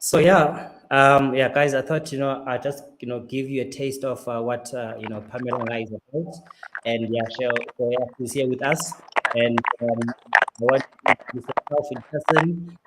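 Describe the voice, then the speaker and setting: A man hosting a casual, unscripted interview in a halting, hesitant way, often repeating himself. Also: mid-range voice, fairly even intonation. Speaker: host, interviewer. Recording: loud background noise with clicks.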